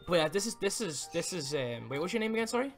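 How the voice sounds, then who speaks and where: Quick, stammering man with a higher voice. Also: sing-song, faint music behind. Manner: stammering, repeats words. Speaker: roleplayer showing others around. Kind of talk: improvised Minecraft roleplay chat.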